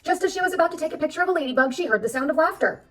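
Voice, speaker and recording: A woman reading a children's storybook aloud as narrator, expressive and addressing young listeners. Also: high-pitched, very fast, recorded from a distance. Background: background music.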